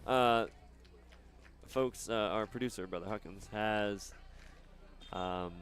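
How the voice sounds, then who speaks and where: A man doing live soccer commentary outdoors, chatting casually and haltingly between plays. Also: lowish voice, fairly bright tone. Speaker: sports play-by-play announcer.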